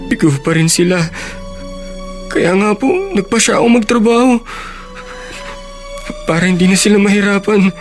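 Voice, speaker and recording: High-voiced, humble young working man. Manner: deferential, earnest, emotional. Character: humble, grateful poor young working man, devoted son. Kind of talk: Filipino radio drama acting. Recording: radio drama with music underneath.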